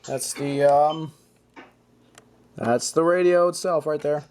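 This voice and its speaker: A man with a fairly high voice talks casually and offhandedly, as a hobbyist presenting a collection on video. The recording is noisy, with clicks and clear background noise around his voice. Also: fairly even intonation, slow, a little mellow. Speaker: hobbyist showing a radio collection. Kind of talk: casual show-and-tell vlog.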